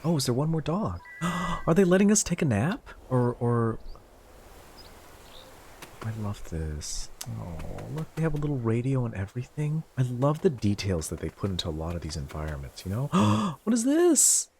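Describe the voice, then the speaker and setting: A man casually commenting while playing a game, gasping and jumping high in pitch when surprised. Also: sing-song, normal speed, a little mellow, a little hiss or hum. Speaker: streamer. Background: game music.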